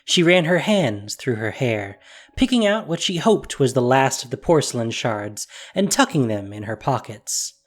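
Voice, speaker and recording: A narrator reading a fantasy story in a measured, expressive storytelling voice. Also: mid-range voice, soft background music.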